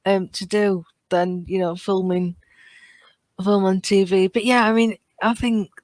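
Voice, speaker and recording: A woman's voice: mid-range voice, flat delivery, unhurried, darkish tone, no room sound. Hesitant woman on a podcast, dry close sound. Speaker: podcast panellist. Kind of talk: casual podcast discussion.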